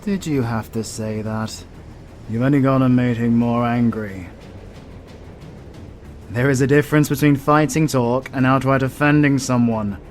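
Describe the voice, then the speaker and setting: A man's voice: medium pitch, measured pace, darkish tone, a little hiss or hum, lightly acted. A man with a British accent playing a stern, sardonic demon hunter who mentors his apprentice in a fantasy audio roleplay, dry and commanding. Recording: music behind the voice. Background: music.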